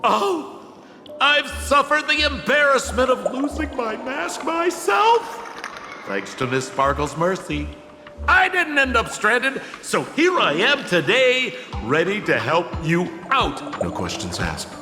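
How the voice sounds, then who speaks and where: A man's voice: very high-pitched, huge pitch swings, takes it slowly, full character voice. A man playing a flamboyant, chummy rogue in a video game, with theatrical, teasing delivery. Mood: gossipy, playful. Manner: theatrical, teasing, refers to himself by name. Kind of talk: video game character acting. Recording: echoey hall reverb, music behind. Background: game music.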